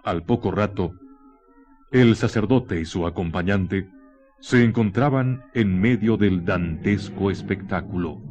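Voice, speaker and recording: A man's voice: low voice, unhurried, darkish tone. A dull, lo-fi radio recording with faint music carries a male narrator. He, and reads dramatic literary narration solemnly.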